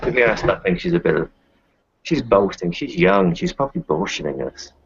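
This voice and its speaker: A man's voice: sits low, sing-song, brisk, gravelly, muffled recording, background noise, exaggerated voice. A man playing a blunt, sceptical male werewolf healer in a casual tabletop roleplaying game. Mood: blunt, advising.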